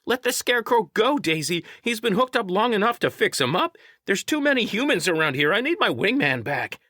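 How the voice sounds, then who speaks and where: A man's voice: sing-song, brisk, bright, forceful tone, no room sound, studio quality, full character voice. A man voicing a bratty, impatient flying creature sidekick with a bleating voice in an audiobook story. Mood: impatient demanding. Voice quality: high, bleating.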